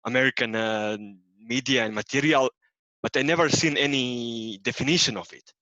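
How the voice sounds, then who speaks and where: A man's voice: medium pitch, normal speed, pushed and bright, no room sound. A man whose first language is Croatian, asking a question in a class with many hesitant fillers. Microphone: video call. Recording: dull dry lo-fi sound.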